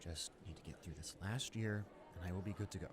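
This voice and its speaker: A young man playing a friendly, easily flustered high school senior in a roleplay audio drama, with an awkward, rambling, hesitant way of talking. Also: quick pace, background noise, close to an everyday voice. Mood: grumbling, resigned. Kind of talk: roleplay audio drama, casual conversational acting.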